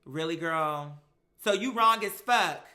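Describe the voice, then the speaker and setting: This is a bright, forceful voice with an American accent, belonging to someone hosting a live stream. The delivery is casual, sassy and emphatic storytelling, full of slang and acted-out exchanges. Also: mid-range voice, slow.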